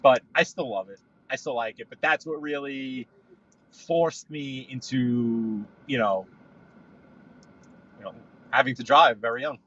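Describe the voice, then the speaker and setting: Bright-voiced New man rambling. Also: mid-range voice, unhurried, long pauses, dull audio. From New York. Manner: rambling, storytelling, frequent restarts. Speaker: livestream guest. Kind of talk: casual live podcast chat while driving. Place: in a car.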